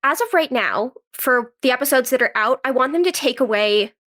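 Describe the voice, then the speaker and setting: A woman's voice: high voice, lively intonation, brisk, fairly bright tone. A woman speaking casually as an interview guest, in a conversational way peppered with "like". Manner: conversational, frequent "like". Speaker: guest, actress and writer.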